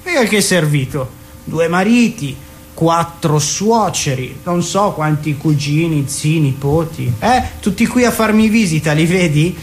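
A male actor plays an elderly retired schoolmaster in a radio play. His voice sits high for a man, sardonic and teasing, with theatrical turns. Noticeable noise runs behind the recording. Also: a little slow, lightly acted. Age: older. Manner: ironic, teasing, theatrical shifts. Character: sardonic old retired teacher, bitter yet teasing. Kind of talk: naturalistic radio drama acting.

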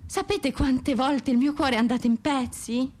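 A woman's voice: high voice, moderate pace, darkish tone. An actress playing an anguished exiled Russian woman poet, pleading yet defiant, in an impassioned, declamatory radio monologue.

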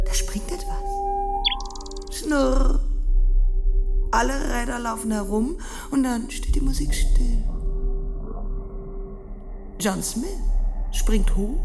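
A woman narrating a fairy tale in an experimental radio play, theatrical and deliberate, sometimes dropping to a hushed near-whisper. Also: fairly high pitch, full character voice. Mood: suspenseful. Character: storyteller of a dark, dreamlike fairy tale. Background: music.

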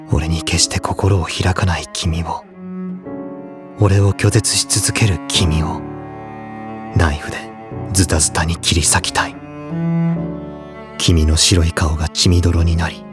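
An actor playing a brooding, anguished teenage boy delivering an obsessive confession in an audio drama monologue. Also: low voice. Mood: dark obsession.

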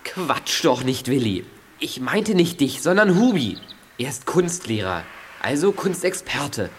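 A man's voice: fairly high pitch, very animated, unhurried, a little hiss or hum. An actor playing a clever, impatient teenage boy detective in a German children's audio drama, explaining and scolding in an exasperated way.

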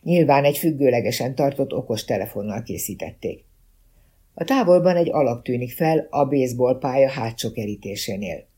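A man reading a first-person detective novel as a scripted audiobook narration, with a husky voice. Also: mid-range voice, expressive, dark tone.

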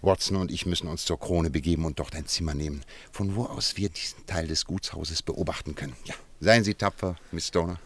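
An actor playing a shrewd, wry male detective in a German radio play, speaking in a theatrical, deliberate and authoritative way. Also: deep voice, slight background noise. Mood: grave, urgent.

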